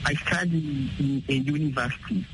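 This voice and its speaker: Hesitant young Congolese man, highish voice, noisy line. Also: moderate pace. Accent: Congolese French. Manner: hesitant, repeats words. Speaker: student guest. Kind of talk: halting learner conversation.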